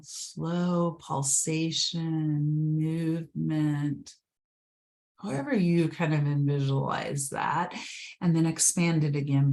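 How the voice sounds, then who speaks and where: Low, gravelly woman guiding meditation. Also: a little mellow. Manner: slow, soothing, drifts into whispers. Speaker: meditation guide. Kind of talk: guided meditation.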